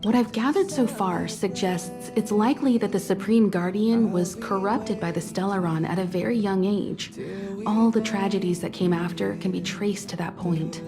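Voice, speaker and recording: An actress playing a composed, kindly mentor of space travellers in a video game, explaining things in a poised, reassuring way. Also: female voice, mid-range voice, normal speed, lightly acted. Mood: grave, reflective. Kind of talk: video game voice acting, measured dialogue. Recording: background music under studio voice.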